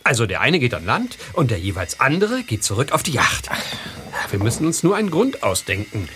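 A man's voice: medium pitch, wildly swooping pitch, fairly quick, sharp tone, a little hiss or hum. An actor playing an earnest, cautious young man who explains things carefully in a German audio drama. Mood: planning.

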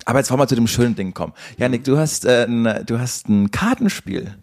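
A man's voice: medium pitch, brisk. A man hosting a podcast in a casual, conversational way. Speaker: podcast host.

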